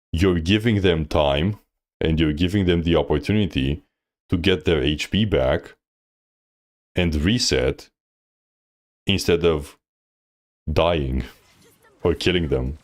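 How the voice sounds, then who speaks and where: A man coaching on a live stream, reviewing gameplay bluntly with lots of fillers. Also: deep voice. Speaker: game coach.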